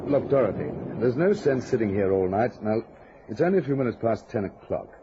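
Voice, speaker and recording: A man's voice: medium pitch, expressive, fairly quick, lightly acted. An actor playing a brusque, impatient husband trying to reassure his frightened wife in an old-time radio drama. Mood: exasperated. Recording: noisy, muffled vintage radio drama recording.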